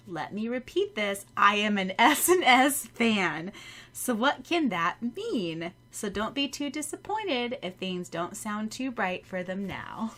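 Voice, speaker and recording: A woman on a podcast reading written notes aloud and a scripted outro in a playful, expressive way. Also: mid-range voice. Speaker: podcast co-host.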